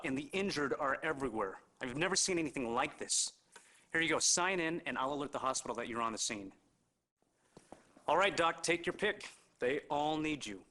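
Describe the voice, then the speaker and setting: A man's voice: a little high, sharp tone. A man playing a harried, overwhelmed emergency responder in a video game cutscene, talking urgently and informally.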